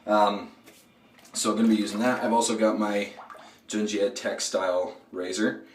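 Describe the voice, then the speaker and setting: A man with a low, hoarse voice from a throat cold, chatting in a relaxed, rambling way with plenty of ums and uhs. He talks a bit away from the mic in a bathroom, so the sound bounces around the tiled room. Faint running water and household noise sit behind him. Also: moderate pace, reverberant, distant mic. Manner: relaxed, rambling, many fillers. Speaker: shaving video host. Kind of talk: casual product review while shaving.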